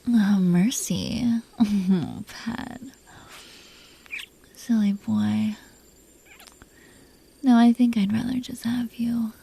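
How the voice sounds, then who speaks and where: A woman playing a possessive, proud but secretly tender dragon queen in an intimate roleplay audio drama, breathy and teasing. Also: fairly high pitch, fairly even intonation, extremely slow, long pauses, mellow, slight background noise, full character voice. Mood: seductive whisper. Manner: breathy, teasing, haughty, lingering delivery.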